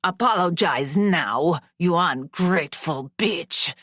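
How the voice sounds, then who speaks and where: A woman voicing a cold, cruel middle-aged father who speaks slowly and snarls his threats. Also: deep voice, very animated, no room sound, clean studio sound, exaggerated voice. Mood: menacing. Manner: cold, snarling, slow and menacing.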